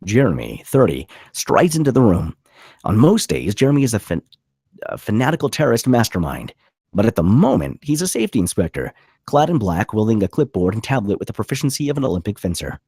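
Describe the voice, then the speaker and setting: A man's voice: fairly low pitch, very animated, fairly quick, darkish tone, slightly rough, dry recording, clean studio sound. A man reading stage directions as dramatic scripted narration at a table read.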